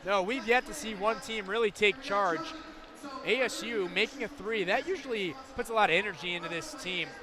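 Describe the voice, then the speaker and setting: A man's voice: high-pitched, brisk, crowd noise. A man giving live analysis as a basketball color commentator.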